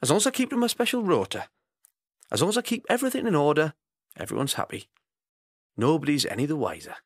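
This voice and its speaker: A man's voice: on the high side, big pitch swings, fast, husky, no room sound, studio quality, pitched above the performer's own voice, full character voice. A man acting a self-justifying womaniser in a comic monologue, chatty, confiding and full of excuses.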